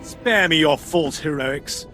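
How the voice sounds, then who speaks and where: A man's voice: fairly high pitch, slow pace, bright, forceful tone, soft background music. A man playing an irritable, imperious Imperial military commander in a video game cutscene. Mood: angry contempt. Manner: imperious, contemptuous.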